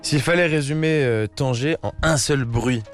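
Brisk male interviewer, mid-pitched, quick prompts over soft music. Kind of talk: quick-fire interview questions.

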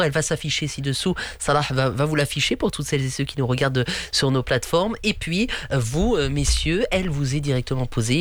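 A man from Normandy hosting a lively radio football talk show, chatty and often swooping up in pitch. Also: no room sound. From Normandy, France. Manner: chatty, reads out listener messages, swoops up in pitch. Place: radio studio.

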